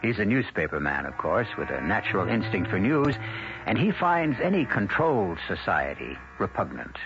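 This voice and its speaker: A man hosting a radio mystery drama, narrating in a measured, explanatory way. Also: fairly low pitch, very animated. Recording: dull lo-fi old radio broadcast with music.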